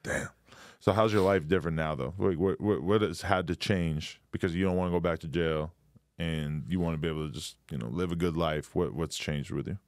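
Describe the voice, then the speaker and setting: A man hosts a podcast interview in a laid-back way, nodding along with quick affirmations and swearing casually. His voice is very deep. The recording is dry and close. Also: no echo. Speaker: podcast host.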